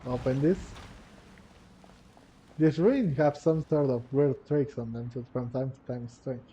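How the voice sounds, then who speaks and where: A man's voice: mid-range voice, unhurried, mellow, lo-fi sound, a little hiss or hum. A man giving casual playthrough commentary on a video game, musing hesitantly as he thinks aloud. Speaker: gaming video creator, player. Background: game music.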